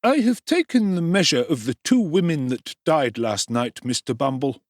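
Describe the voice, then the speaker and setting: A man voicing a gaunt, jocular middle-aged undertaker with a high, wheedling tradesman's whine. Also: animated, moderate pace, dry recording, studio quality, lightly acted.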